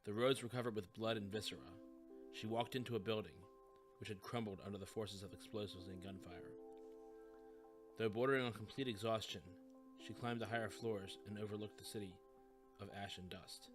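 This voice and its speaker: A man narrates scripted fiction. His voice sits somewhat low and his storytelling is measured and literary. Music is clearly heard beneath him. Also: steady tone.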